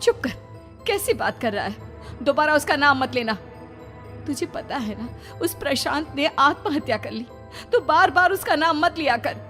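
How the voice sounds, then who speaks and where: A woman's voice: high-pitched, lively intonation, moderate pace, fairly bright tone, voice pushed up. A woman voicing a protective, anxious village mother in a dramatic story, speaking urgently and emotionally. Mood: scolding. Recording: music playing behind the voice.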